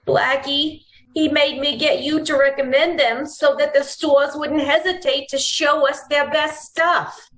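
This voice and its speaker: An anxious young woman in trouble from a 1940s radio play, acted by a woman. Her voice is high, earnest and hesitant, with clear echo around it. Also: fairly even intonation, measured pace, fairly bright tone. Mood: earnest, pleading. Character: anxious, pleading young woman mixed up with crooks. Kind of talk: 1940s radio drama acting. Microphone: video call. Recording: echoey online-call recording.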